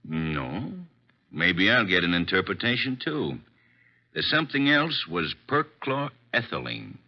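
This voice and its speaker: An actor playing a gruff police inspector in an old radio crime drama. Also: male voice, deep voice, very animated, a little slow, exaggerated voice. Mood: businesslike. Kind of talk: 1940s-50s radio drama acting. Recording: dull vintage radio recording.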